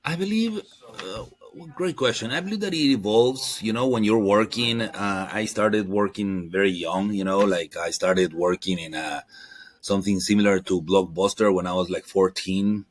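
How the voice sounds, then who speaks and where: A man in his forties with a Guatemalan Spanish accent speaks in a fairly low voice, close and dry. He chats as a podcast guest, haltingly, rewording his thoughts as he goes. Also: no echo. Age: 40s. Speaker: podcast guest, marketing entrepreneur. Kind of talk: casual interview chat.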